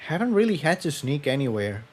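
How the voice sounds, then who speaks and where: A man voicing an excitable, cheerful young female pegasus pony, eager in manner. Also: fairly high pitch, a little fast, slightly rough. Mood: wistful.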